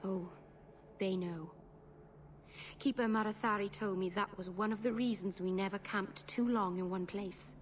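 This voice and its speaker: A woman's voice: medium pitch, fairly even intonation, normal speed, a little hiss or hum, close to an everyday voice. A woman playing a young elven mage in a fantasy video game, nervous and earnest, rambling and correcting herself apologetically. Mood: solemn, earnest. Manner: rambling, hesitant, self-correcting.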